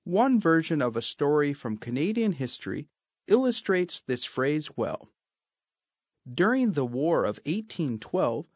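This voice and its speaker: A man reading a listening-test passage as scripted narration, deliberate and clearly paced. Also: on the high side, darkish tone, no room sound.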